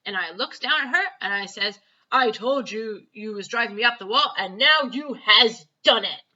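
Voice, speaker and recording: A woman voicing a boastful child in a storybook, telling tales excitedly and emphatically in muddled grammar. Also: on the high side, moderate pace, muffled recording, heavily acted. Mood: cheeky.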